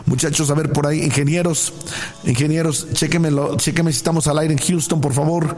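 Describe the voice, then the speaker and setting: A man's voice: fairly high pitch, fairly even intonation, fairly quick, darkish tone. A man from Puebla with a Mexican accent, talking casually as a pastor hosting a live broadcast, effusively blessing listeners and repeating his phrases for emphasis. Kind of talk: casual live talk, greeting listeners and reading messages.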